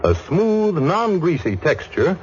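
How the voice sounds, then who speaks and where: A man's voice: on the high side, very animated, slow. A man announcing on 1940s radio, reading an advertisement in a polished salesmanlike manner. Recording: muffled, faintly noisy 1940s radio broadcast.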